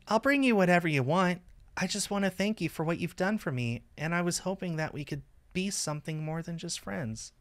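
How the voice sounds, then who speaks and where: A man voicing a shy, earnest schoolgirl reading out her love letter in a visual novel, gently. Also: a little high, voice pushed up, natural voice. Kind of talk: visual novel character read-aloud.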